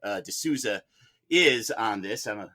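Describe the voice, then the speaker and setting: Bright, forceful man debating. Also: on the low side, animated, a little slow. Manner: argumentative, emphatic repetition. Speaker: debater playing defense counsel. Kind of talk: mock courtroom film debate.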